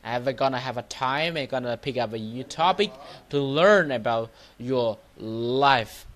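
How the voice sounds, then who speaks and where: Halting man with a Cambodian accent. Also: medium pitch, animated, a bit bright. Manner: halting, repetitive, non-native English phrasing. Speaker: Buddhist monk teacher and livestream host. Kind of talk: informal livestreamed motivational talk and lesson.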